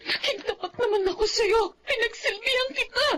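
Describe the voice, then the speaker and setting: An actress playing a betrayed, weeping wife in a radio drama, wounded, emotional and accusing. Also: female voice, very high-pitched, a little fast, sharp tone. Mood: tearful accusing. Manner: tearful, emotional, reproachful. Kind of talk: Filipino radio drama acting.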